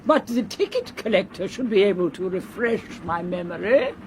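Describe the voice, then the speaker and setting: An actress playing a haughty, sardonic European baroness in a radio drama, with formal speech. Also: female voice, mid-range voice, animated, normal speed, a little mellow, a little hiss or hum, natural voice.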